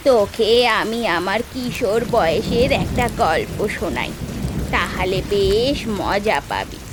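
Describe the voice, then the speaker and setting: A wistful elderly grandmother from an animated storybook tale, played by a woman. She speaks slowly and reflectively, with clear noise behind her. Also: medium pitch. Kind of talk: cartoon voice acting.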